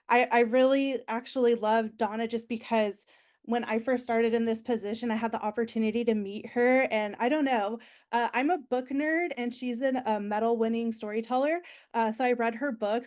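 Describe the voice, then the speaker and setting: A woman's voice: a little high, a little mellow. Chatty young woman with a nasal voice. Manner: chatty and enthusiastic, frequent ums and likes. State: recovering from being sick. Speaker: university alumni relations staff presenter. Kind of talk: orientation presentation.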